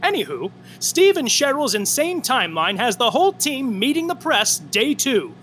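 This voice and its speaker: Guy doing a catty backstage gossip narrator, super lively and sharp. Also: extremely high pitch, normal speed, slight background noise, heavily acted. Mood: snarky. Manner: snarky, theatrical. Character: catty theatre-world narrator, snarky and dramatic.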